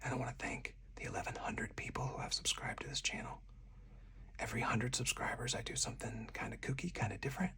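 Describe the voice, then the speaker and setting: A person whispering softly and breathily in ASMR style, narrating a solo card game move by move as the host. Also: medium pitch, steady tone, fast talker. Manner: hushed, narrating each move aloud step by step.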